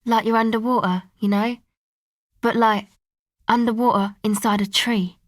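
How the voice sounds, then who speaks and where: A woman's voice: a little high, fairly even intonation, moderate pace, no room sound, close to an everyday voice. An actress playing a guarded, laid-back young woman who leads a streetwise crew in a video game, speaking casually with dry understatement. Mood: casual, curious. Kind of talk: video game dialogue acting.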